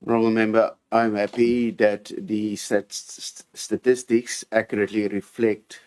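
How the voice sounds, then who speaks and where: A man who chairs a council committee, giving formal, measured answers in testimony. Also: fairly low pitch, level tone, darkish tone, no room sound.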